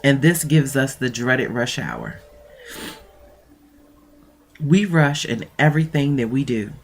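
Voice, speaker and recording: A woman's voice: rumbling low, very animated, slow, slight background noise. A woman mentoring coach with a stuffy nose, talking in a chatty, rambling way with many fillers and reading aloud from her own notes. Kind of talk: casual talk and reading aloud.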